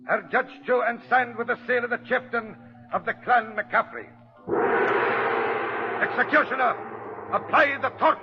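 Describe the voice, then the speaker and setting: An actor playing a stern herald proclaiming a death sentence in formal, imperious 1940s radio style. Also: male voice, extremely high pitch, a little slow, pauses often. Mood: solemn, imperious. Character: stern, merciless executioner's herald pronouncing sentence. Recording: muffled old radio recording with music.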